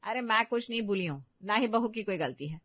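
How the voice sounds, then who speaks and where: A woman's voice: medium pitch, lively intonation, a little fast, dry recording, lo-fi sound, close to an everyday voice. A woman voicing a bossy, middle-aged village mother-in-law who scolds and gives instructions. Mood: scolding.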